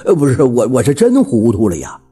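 Storyteller doing a husky, stammering village bachelor. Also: medium pitch, huge pitch swings, fairly quick, dark tone, soft background music, exaggerated voice. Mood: flustered. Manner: stammering, hesitant. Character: timid, flustered, simple village bachelor man.

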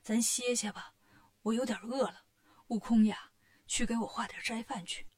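A woman's voice: on the high side, steady tone, moderate pace, darkish tone, lightly acted. A woman voicing a timid, gullible male monk master who speaks in a weary, plaintive, fretful way for a story. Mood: tired.

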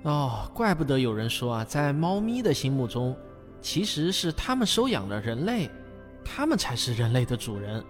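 A man's voice: medium pitch, very animated, normal speed, faint music behind, natural voice. A man playing a patient, kindly father who tells stories in a radio drama. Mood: amused, patient. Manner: warm storytelling, patient explaining. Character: patient, kindly father explaining family history to his daughter.

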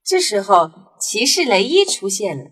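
A woman narrating a children's story in an expressive storytelling manner. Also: medium pitch, moderate pace, breathy edge. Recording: music behind the voice.

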